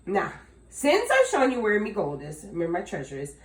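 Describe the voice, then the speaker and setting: A tiny, sly old leprechaun snaps and wheedles, played by a woman reading a picture book aloud. His lines bounce around with lively pitch. The room around him rings with echo. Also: normal speed, far from the mic. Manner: sharp, wheedling, loud. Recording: echoey room.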